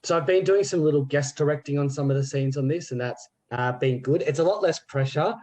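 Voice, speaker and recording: A man in his thirties, chatting casually on a podcast about theatre, relaxed and full of little restarts and "yeah"s. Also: a little high, fairly quick. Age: 30s. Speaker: theatre group member.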